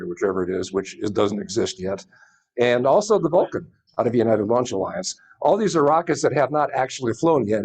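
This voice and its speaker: A man's voice: mid-range voice, darkish tone, husky. A man presenting informally to a group, explaining in a rambling way with many hedging asides. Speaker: presenter at a space society meeting.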